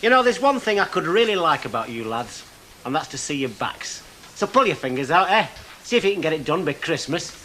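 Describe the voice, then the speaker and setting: A man's voice: a little high, sing-song, fairly quick, bright, forceful tone, slight background noise, low-quality recording. An actor playing a sarcastic man needling his mates.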